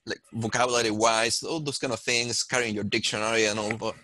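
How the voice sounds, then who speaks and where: A man from Chile with a Chilean Spanish accent, speaking thoughtfully on a panel and pausing to find his words. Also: lowish voice, level tone, sharp tone, dry recording, rough recording. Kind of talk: panel discussion.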